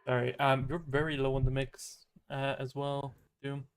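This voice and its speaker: A man's voice: medium pitch, flat delivery, normal speed, clear, resonant tone. A man streaming a game, chatting casually and sometimes reading text aloud. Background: game music.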